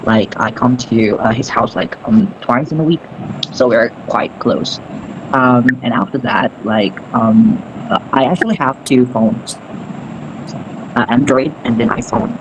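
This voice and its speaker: A man, an English teacher, tells a story loosely and chattily, filling gaps with "like" and "um". His voice sits at an everyday middle pitch. Steady noise runs behind him on the call. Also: measured pace, dull audio. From Indonesia. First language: Sundanese. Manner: chatty, loose, with many fillers. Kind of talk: casual storytelling in a meeting. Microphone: video call. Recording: noisy background.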